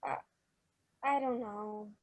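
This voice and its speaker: A woman's voice: mid-range voice, fairly even intonation, slow pace, a little mellow. A woman playing an anxious, stubborn and inquisitive little girl in an old-time radio Christmas drama. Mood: suspicious, worried.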